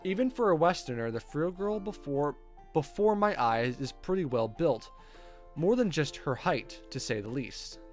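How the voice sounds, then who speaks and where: A man's voice: fairly high pitch, expressive, moderate pace. A man streaming a visual novel, reading its narration aloud and adding casual commentary. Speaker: game streamer. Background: game music.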